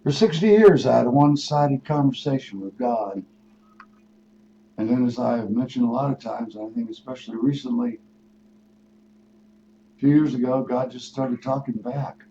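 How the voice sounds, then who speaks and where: An older man, in his sixties or beyond, hosting a radio show with a rambling spiritual monologue, musing and halting, then leaning into words for emphasis. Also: medium pitch, mellow. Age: 60s or older. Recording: dull lo-fi radio audio with noise.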